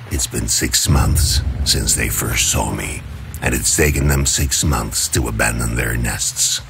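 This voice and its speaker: An actor playing a grim, obsessive, proud male vigilante, gravelly and brooding, in a dark comic-book drama. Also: very deep voice, background noise, heavily acted. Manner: brooding, terse, deliberate.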